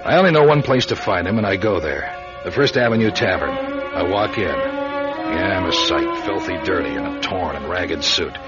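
A man's voice: low-pitched, expressive, moderate pace. An actor playing a world-weary, hard-boiled drifter, telling his misadventure in wry, clipped noir radio drama style. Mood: grim, wry. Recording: dull old radio recording, loud music behind.

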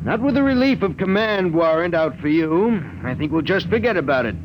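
A brisk military major of the space patrol in an old radio serial. His voice is fairly high and very animated, with clear noise from the vintage recording. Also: dull audio. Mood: commanding. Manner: authoritative, crisp, dryly genial. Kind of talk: 1950s radio drama acting.

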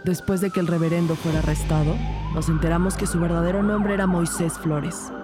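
A woman playing a detective who narrates a crime case as scripted audio fiction, measured and serious. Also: fairly low pitch, fairly even intonation, lightly acted. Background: music.

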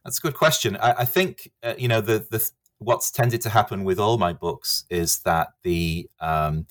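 Middle-aged Liverpudlian academic, low voice, hesitant and thoughtful. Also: male voice, moderate pace, a bit bright. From England. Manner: hesitant, many uhs and restarts. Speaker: history professor, guest author. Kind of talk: podcast interview.

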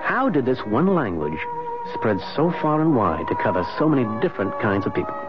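An actor playing an anguished, scholarly husband in a 1970s radio drama, speaking emphatically and halting to repeat himself. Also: male voice, medium pitch, sing-song, over music, close to an everyday voice. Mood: eager. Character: Spencer, anguished, insistent husband with scholarly dreams. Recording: muffled vintage radio broadcast.

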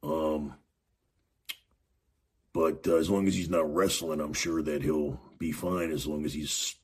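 A man hosting a show, talking in a raspy voice in loose, rambling casual commentary full of fillers. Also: rumbling low, fairly even intonation, a little slow.

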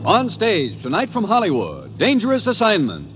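A man's voice: sits high, wildly swooping pitch, moderate pace. A man announcing an old-time radio show in a formal, emphatic style. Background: loud music.

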